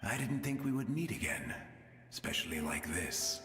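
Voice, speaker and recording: A man playing the gentle, resigned ghost of a selfless warrior in a video game, wistful, with ghostly reverb over music. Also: lowish voice, big pitch swings, normal speed. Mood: grateful. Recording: long hall reverb under prominent music.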